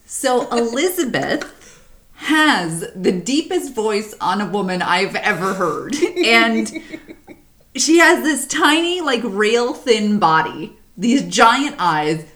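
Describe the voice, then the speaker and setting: A woman with a deep voice co-hosting a podcast, chatting casually, animated and swearing freely. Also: slow pace. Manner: animated, swearing, laughs often. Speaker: podcast co-host. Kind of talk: casual chat.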